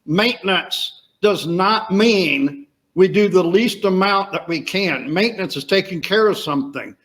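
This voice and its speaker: A man's voice: sits high, expressive, unhurried, a bit bright. A man hosting a podcast over a video call, talking emphatically with rhetorical questions. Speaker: podcast host. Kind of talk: casual interview chat.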